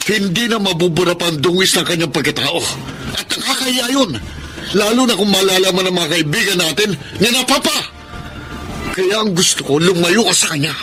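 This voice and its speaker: An actor playing a selfish, judgmental, demanding young husband in a Tagalog radio melodrama. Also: male voice, high voice, animated, a little fast, over music. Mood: scornful, angry.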